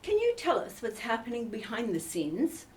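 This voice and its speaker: A woman's voice: a little high, wildly swooping pitch, moderate pace, darkish tone. A woman playing a polite, sympathetic interviewer in a scripted comedy mock news report. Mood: polite, professional.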